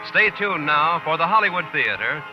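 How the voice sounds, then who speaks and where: Male American network announcer, 1950s delivery, fairly high and animated, muffled vintage recording. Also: moderate pace, over music. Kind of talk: 1950s radio announcing, scripted. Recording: dull vintage radio recording.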